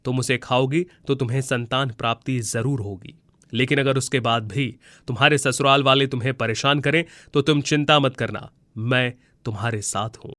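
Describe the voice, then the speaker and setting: Man voicing a bright-toned, kindly magic tree. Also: mid-range voice, fairly even intonation, moderate pace, no room sound, close to an everyday voice. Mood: consoling. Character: kindly, consoling magical talking mango tree. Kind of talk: Hindi moral storybook voice acting.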